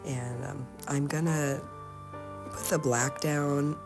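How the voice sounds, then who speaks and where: A woman with a slightly hoarse voice, giving a casual, halting painting tutorial as the host. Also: low-pitched, fairly even intonation, music in the background. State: slightly hoarse from being sick. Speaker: host of an art tutorial channel.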